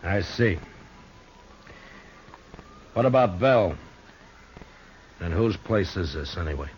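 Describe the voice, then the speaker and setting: An actor playing a tough, steady frontier lawman in an old radio western, speaking in a dry, laconic, calmly defiant way. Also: low-pitched, slow pace. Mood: stern. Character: steady, tough, unflappable male frontier marshal. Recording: muffled, noisy old radio recording.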